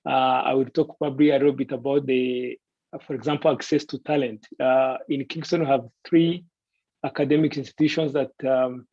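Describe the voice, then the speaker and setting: A man giving a semi-prepared guest presentation in a deliberate, polite way. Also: medium pitch, fairly even intonation, a little mellow, no echo. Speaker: guest presenter pitching a city. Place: online video call. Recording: dull, lo-fi online call audio.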